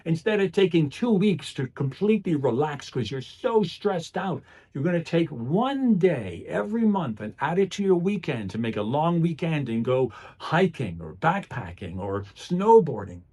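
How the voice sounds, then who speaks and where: A man's voice: a little high, big pitch swings, measured pace, darkish tone, husky. A man delivering a motivational speech in an earnest, emphatic way, often posing rhetorical questions. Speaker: public speaker.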